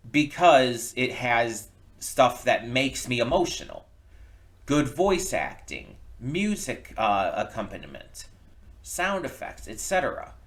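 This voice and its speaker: A man giving casual opinion commentary as a reaction video host, speaking haltingly and often restarting his words. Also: medium pitch, a bit bright.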